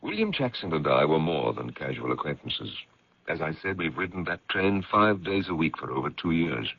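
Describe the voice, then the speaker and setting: An actor playing a protective, indignant gentleman editor in a radio mystery, with a gravelly, husky, emphatic delivery. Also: rumbling low, very animated, moderate pace, no room sound, heavily acted. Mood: defensive. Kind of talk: radio drama acting, dramatic dialogue and first-person narration. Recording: dull, lo-fi vintage radio recording.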